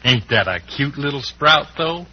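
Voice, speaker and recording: An actor as a folksy Western cowboy sidekick, swooping pitch. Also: male voice, expressive, unhurried, close to an everyday voice. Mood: easygoing. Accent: American Western drawl. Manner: folksy, rambling, hesitant drawl. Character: folksy, good-humored cowboy sidekick, teasing and shrewd. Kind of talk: old-time radio western acting. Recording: muffled, hissy old radio recording.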